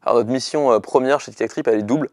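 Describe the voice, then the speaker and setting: A man's voice: medium pitch, very fast, dry recording, clean studio sound, faint music behind. A young man, a startup chief executive giving an earnest, hesitant interview to camera in short bursts. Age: young adult. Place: indoor studio with dark backdrop. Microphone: lapel mic.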